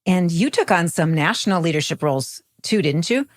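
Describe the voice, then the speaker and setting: A woman's voice: fairly low pitch, expressive, fairly quick. A woman hosting a podcast, reading scripted introductions and putting questions to a guest. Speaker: podcast host.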